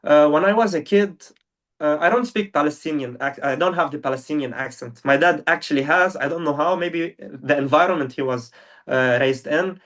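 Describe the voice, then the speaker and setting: A man's voice: fairly high pitch, moderate pace, a bit bright. Young male guest, non-native English, earnest, fillers and restarts, distant mic. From Lebanon. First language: Arabic. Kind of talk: casual interview talk. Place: now living in Germany.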